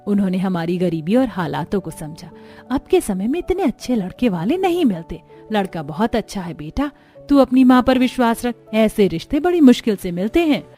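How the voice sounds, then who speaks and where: A woman's voice: medium pitch, very animated, normal speed, warm tone, faint music behind, lightly acted. A woman voicing a loving, firm middle-aged mother who advises and reassures her daughter in a cartoon family story. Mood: reassuring. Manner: persuasive, affectionate advising.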